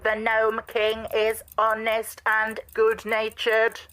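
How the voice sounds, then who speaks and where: A man voicing a slow, polite mechanical man who gives calm advice word by word in a monotone. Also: sits high, soft background music, pitched above the performer's own voice, full character voice. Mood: calm, measured.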